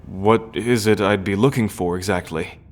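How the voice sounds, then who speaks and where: An actor playing an earnest, conflicted prince in an audio roleplay, speaking formally and deliberately with sighs. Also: male voice, fairly low pitch, lightly acted. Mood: resolute, earnest. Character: earnest, conflicted prince, son of a scheming queen. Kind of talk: audio roleplay drama acting.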